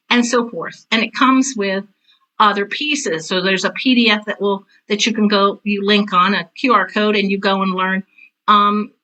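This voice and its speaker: A woman's voice: mid-range voice, bright, forceful tone, rough recording. An older woman talking casually as an interview guest, with a direct, intense and emphatic way of repeating phrases. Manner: self-described intense, direct. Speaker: guest, theater teacher and author.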